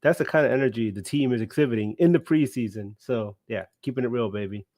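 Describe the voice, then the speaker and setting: A man's voice: mid-range voice, fast, darkish tone, dry recording. Male podcast co-host, tired, rambling casual banter, dry close-miked sound. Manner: rambling, joking, laughs often. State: tired after a long day. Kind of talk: casual sports chat.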